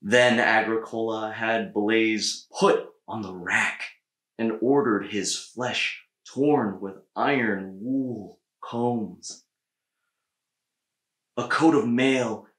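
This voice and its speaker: A man in his thirties reading a children's story aloud with measured, deliberate phrasing and pauses. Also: on the low side, fairly bright tone. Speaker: storybook reader. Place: small indoor room with bookshelves. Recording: echoey room.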